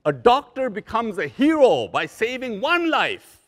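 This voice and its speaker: Older man giving a lively keynote. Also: very high-pitched, measured pace. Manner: animated, joking, preacher-like emphasis. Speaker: keynote speaker. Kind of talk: motivational keynote speech. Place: large conference hall on stage. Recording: long hall reverb.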